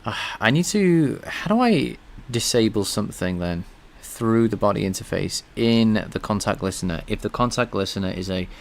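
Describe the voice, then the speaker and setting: A man's voice: on the low side, lively intonation, normal speed, creaky, hardly any pauses. A man streaming himself coding, thinking aloud and chatting with viewers. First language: English. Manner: thinks aloud while coding, answers chat. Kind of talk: casual live-coding stream chat.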